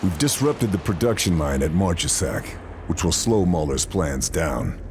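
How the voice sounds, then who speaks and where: A man's voice: deep voice, lively intonation, moderate pace, background noise. A male actor playing a stoic, determined lone soldier in a video game, terse and controlled. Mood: grave. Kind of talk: video game cutscene acting and briefing narration.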